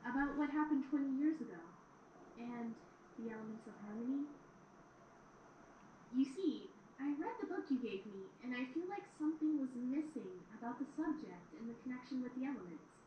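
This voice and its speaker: A woman's voice: high voice, lively intonation, moderate pace, lo-fi sound. A woman voicing a young pony princess, an earnest, inquisitive and slightly awkward student in an audio drama. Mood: earnest, hesitant. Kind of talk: fan audio drama acting. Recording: echoey, distant, faintly noisy playback.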